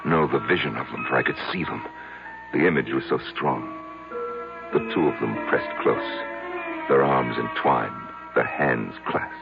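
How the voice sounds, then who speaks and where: A deep-voiced older man confesses something cruel, haunted and lonely. He halts, repeats words and pauses dramatically. The recording is dull vintage radio drama with music behind. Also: vocal fry, full character voice. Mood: brooding, haunted. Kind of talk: old-time radio drama acting, first-person confession.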